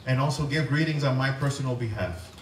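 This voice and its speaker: A man working as an interpreter, rendering a speech into English with a brisk, plain delivery. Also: mid-range voice, a little hiss or hum. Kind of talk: consecutive interpreting. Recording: echoey room from far off.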